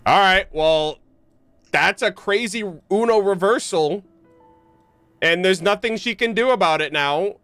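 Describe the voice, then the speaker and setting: A man's voice: high voice, unhurried, a bit bright, clear, resonant tone, no room sound, faint music behind. A young man streaming a game with casual, slangy commentary, joking and incredulous. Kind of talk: casual live gameplay commentary.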